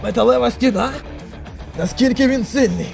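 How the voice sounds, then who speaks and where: A man's voice: very high voice, normal speed, creaky, music in the background, full character voice. A man voicing a hot-headed, vengeful young male thug in a comic dub, taunting and aggressive. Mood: menacing.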